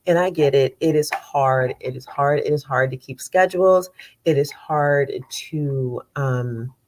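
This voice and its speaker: A woman host with a low voice and a congested, stuffy sound talks loosely to her audience. She rambles, repeats herself and fills pauses with ums as she warms into the show. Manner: chatty, rambling, with many fillers and repeats. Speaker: conflict coach and show host. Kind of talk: casual live show chat.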